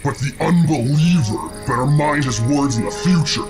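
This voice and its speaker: A man's voice: medium pitch, lively intonation, moderate pace, close to an everyday voice. Man playing sly, haughty ogre sorcerer, swooping delivery. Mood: veiled threat. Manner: condescending, speaks of himself as "we". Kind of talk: theatrical fantasy game cutscene acting. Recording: loud music over the voice.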